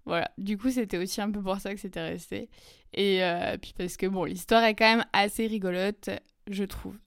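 A young woman telling a personal story in casual, chatty French, full of fillers and quick asides. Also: mid-range voice, fairly even intonation, vocal fry, smooth, no echo, clean studio sound. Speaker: podcast host. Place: lives in Germany.

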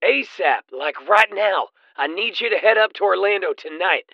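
A man's voice: high-pitched, lively intonation, normal speed, gravelly, no room sound. A man playing a curt, menacing crime boss giving orders over a two-way radio in an audio drama. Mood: commanding, urgent. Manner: terse, commanding. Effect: two-way radio filter. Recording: dull lo-fi radio sound.

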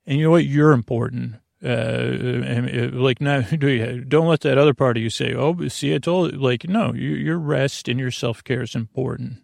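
A man with a creaky voice hosting a bedtime podcast in a meandering, halting, earnest monologue that keeps interrupting itself. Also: mid-range voice, a little mellow, no room sound, studio quality. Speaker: podcast host.

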